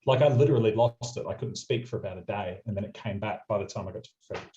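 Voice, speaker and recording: A man's voice: on the low side, warm tone. A young Australian man, a radio presenter, telling travel stories in a chatty, anecdotal way. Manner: quick anecdotal storyteller, chatty. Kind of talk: casual storytelling interview. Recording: muffled lo-fi audio.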